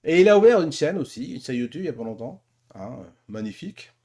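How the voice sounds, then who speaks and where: A man's voice: on the high side, sing-song, quick pace, dark tone. A man hosting a casual conversational interview, talking in a familiar, teasing way with his guest. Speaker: show host.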